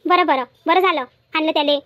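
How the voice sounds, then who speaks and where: A woman's voice: high-pitched, steady tone, quick pace, fairly bright tone, no echo. A woman voicing a bossy, fussing village auntie in a cartoon comedy, grumbling and chatty, with a Varhadi Marathi accent. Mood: reassuring. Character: bossy, fussing village auntie, caring about her workers.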